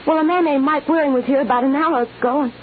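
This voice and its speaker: Casting: actress playing anxious young gangster's girl, high faltering voice, vintage radio drama, narrow band. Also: fast talker, lightly acted. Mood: frightened. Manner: nervous, faltering. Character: frightened young woman mixed up with gangsters. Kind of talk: 1940s radio acting. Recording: tinny, noisy old-time radio recording.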